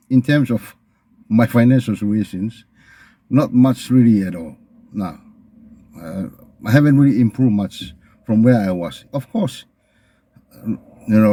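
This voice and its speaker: Male vlogger, middle-aged, Tongan accent. Dark, relaxed tone, earnest and halting delivery, close dry sound. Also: lowish voice, slightly rough, no echo. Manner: earnest, halting, repeats phrases. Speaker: video channel host. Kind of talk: reflective unscripted monologue to camera.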